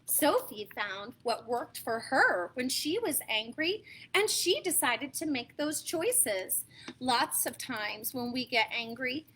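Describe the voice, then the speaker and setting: An American woman reading picture books aloud to children in an expressive, dramatic way, stepping aside to talk to her listeners. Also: sits high, takes it slowly, slight background noise, rough recording. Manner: expressive, dramatic emphasis, asks listeners questions. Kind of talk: animated read-aloud storytelling for young children, with asides.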